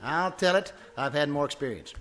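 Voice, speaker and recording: A man's voice: on the high side, animated, normal speed, fairly bright tone. A man playing a boastful, folksy small-town husband who blusters and interrupts in a live radio comedy. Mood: excited, boastful. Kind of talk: live old-time radio comedy acting. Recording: noisy live stage performance.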